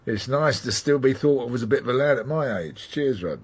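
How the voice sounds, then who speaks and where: An actor playing a middle-aged senior male police detective in a radio drama, questioning in a polite, dry, measured way. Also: mid-range voice, expressive, slightly rough. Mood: dry, affable. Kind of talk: naturalistic radio drama acting.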